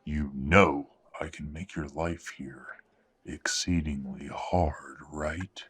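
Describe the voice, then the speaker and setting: A man playing a menacing, controlling werewolf father in an audio drama, husky and breathy, smug and deliberate. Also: a bass voice, steady tone, a bit bright, full character voice. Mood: threatening. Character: menacing, controlling werewolf patriarch, middle-aged or older father.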